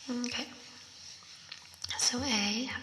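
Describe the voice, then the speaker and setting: A young woman doing ASMR, whispering softly as she reads quiz questions and thinks through them aloud, with a voice effect on her whisper. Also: on the high side, fairly even intonation. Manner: soft unvoiced whisper, slow and thinking aloud. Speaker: ASMR creator. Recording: roomy echo with long reverb.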